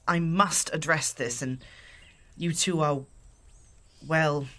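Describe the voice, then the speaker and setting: An actor playing a dignified exiled shifter who speaks in formal, measured, old-fashioned phrases. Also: male voice, on the high side, fairly even intonation, bright, forceful tone. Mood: solemn.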